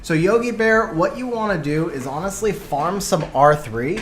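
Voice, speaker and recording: A man giving lively live commentary on game replays and chatting with viewers, his voice getting worn from a long stream. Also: high-pitched, reverberant, a little hiss or hum. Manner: animated, quick play-by-play, bursts of excitement.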